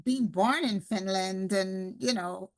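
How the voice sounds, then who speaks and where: A woman's voice: mid-range voice, slow pace. A woman hosting a podcast over a video call, asking curious open questions and adding her own remarks. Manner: curious, asks open questions, warm aside comments. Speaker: podcast host. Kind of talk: casual interview chat.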